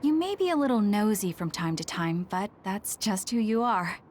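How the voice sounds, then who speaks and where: A woman's voice: mid-range voice, sing-song, moderate pace, a little mellow, slight background noise, natural voice. A woman playing a forthright, decisive young squad leader in an English video game dub, direct and matter-of-fact. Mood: sincere.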